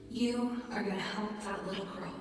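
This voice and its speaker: A woman playing the gentle, affectionate ghost of a mother in a radio drama, doting and tender, with a ghostly reverb. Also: medium pitch, fairly even intonation, normal speed, loud music behind the voice. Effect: ghostly echoing reverb. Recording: distant, cavernous reverb, loud ambient noise.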